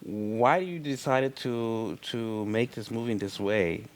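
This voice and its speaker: A man's voice: mid-range voice, slow pace. A man co-moderating a conversational film interview.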